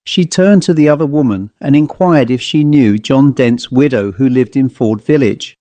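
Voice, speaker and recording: A man narrating an old literary ghost story in a measured, formal storytelling manner. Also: mid-range voice, warm tone, dry recording. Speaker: audiobook narrator.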